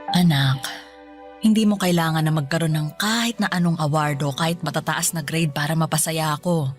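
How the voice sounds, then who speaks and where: An actress playing a caring, hardworking single mother in a radio drama, speaking tenderly and insisting gently. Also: low voice, unhurried, long pauses, soft background music.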